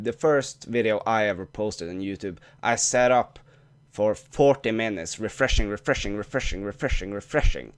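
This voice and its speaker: A man's voice: fairly low pitch, clear, resonant tone. A young man whose first language is Norwegian, talking casually to camera in a vlog with frequent restarts and swearing. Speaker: YouTuber.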